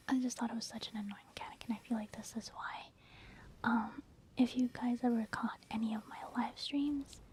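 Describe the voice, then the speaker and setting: A woman's voice: on the high side, darkish tone. A woman whispering casual, rambling ASMR commentary over gameplay in a conversational way. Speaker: gaming ASMR creator, commentator.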